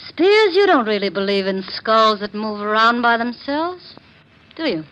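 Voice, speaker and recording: A woman's voice: on the high side, expressive, measured pace, a bit bright, dull audio. An actress playing a cold, shrewd, scheming woman in a 1940s radio drama, firm and interrogating in manner. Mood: suspicious, probing. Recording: noisy vintage 1940s radio recording.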